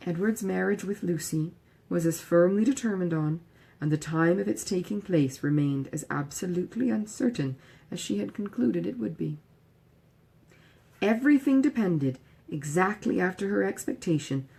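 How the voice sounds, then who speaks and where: A woman's voice: on the low side, vocal fry, a little mellow, slightly rough. A woman reading a classic novel aloud as scripted audiobook narration. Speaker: audiobook reader.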